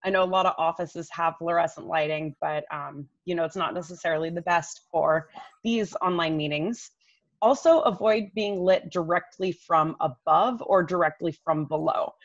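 A woman in her thirties speaks in a lowish voice, explaining practical tips conversationally, as a coach would. She is on a video call from home, and the sound is a little dull. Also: normal speed. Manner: conversational, explanatory, addresses listener directly. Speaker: image coach giving expert tips. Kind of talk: informal instructional advice on a video call. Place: living room at home, indoors. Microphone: laptop microphone on a video call. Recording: slightly dull, roomy webcam audio.

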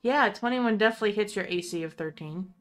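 A woman's voice: mid-range voice, quick pace, faint music behind. A woman acting as game master, narrating a tabletop game in descriptive second-person storytelling and casually refereeing the rules.

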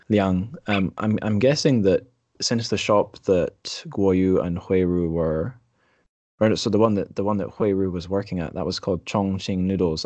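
A man hosting a podcast, discussing books casually in a halting, tentative way. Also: sits low, darkish tone, no echo. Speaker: podcast host.

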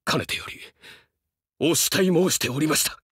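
Fervent, bright-voiced young samurai dog-man. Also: fairly high pitch, moderate pace, breathy edge, no echo, clean studio sound. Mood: tender, devoted. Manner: formal, earnest, archaic retainer's speech, dramatic delivery. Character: fervent young male dog-man samurai warrior, fiercely loyal and earnest. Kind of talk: Japanese game voice acting, archaic samurai speech.

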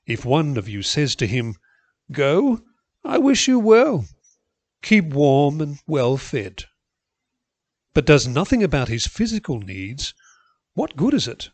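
A man reading a Bible passage aloud in a measured, expressive way. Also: on the high side, dry recording. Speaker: Bible reader. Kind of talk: scripture reading.

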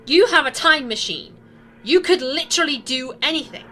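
Woman as a bright, high-voiced plucky companion. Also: animated, moderate pace, soft background music. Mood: sceptical. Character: plucky young female companion, bold and sceptical. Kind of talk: science fiction audio drama acting.

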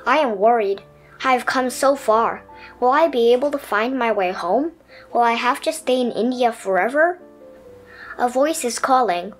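A woman voicing a dreamy, adventurous little pet turtle in an expressive storybook telling. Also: a little high, moderate pace, soft background music. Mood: earnest, amazed.

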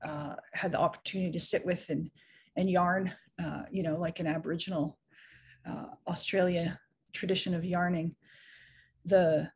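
A woman's voice: on the low side, darkish tone, a little hiss or hum. A middle-aged woman on a video call from home, telling a story in a reflective way with frequent restarts. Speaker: guest speaker. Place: home room, indoors. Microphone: video call webcam.

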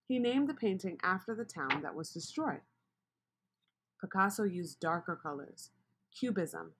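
A woman reading a children's picture book aloud, expressive and asking the listener questions. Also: lowish voice, slightly rough. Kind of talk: read-aloud storybook narration.

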